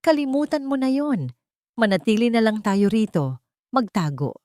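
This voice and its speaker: A woman voicing an earnest, worried man in an audio romance story, speaking gravely and hesitantly with emphasis. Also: on the high side, expressive, normal speed, darkish tone, dry recording, voice pushed up, natural voice.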